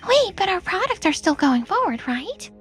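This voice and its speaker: Woman acting very high-pitched anxious office underling. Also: big pitch swings, moderate pace, fairly bright tone, faint music behind, exaggerated voice. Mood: worried, pleading. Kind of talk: manga dub dialogue.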